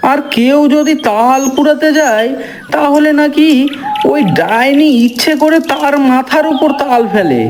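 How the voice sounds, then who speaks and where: A man's voice: very high-pitched, a little slow, background noise. A man voicing a timid village husband who is nervous and placating and keeps talking himself into courage.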